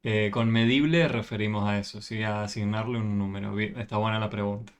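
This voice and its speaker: A man's voice: lowish voice, fairly even intonation, fairly quick, clear, resonant tone. A man tutoring a math class over a video call, patiently explaining and often checking with questions.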